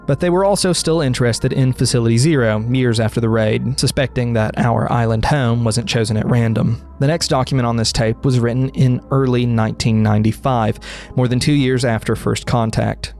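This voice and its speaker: A man's voice: medium pitch, fairly even intonation, brisk, darkish tone. Man narrating scripted horror explainer over music.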